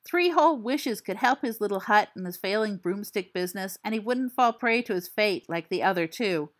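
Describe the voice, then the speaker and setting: A woman's voice: medium pitch, expressive, moderate pace, lightly acted. A woman voicing a teenage boy wizard who tells a ghost story with theatrical flair and dry asides. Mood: storytelling. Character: teen boy wizard spinning a spooky tale.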